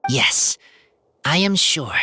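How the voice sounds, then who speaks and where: An earnest feral boy from a video game,. The phrases come slowly, short and broken, with wide swings in pitch and faint noise behind. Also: lively intonation. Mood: solemn. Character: shy, earnest feral boy raised by wolves.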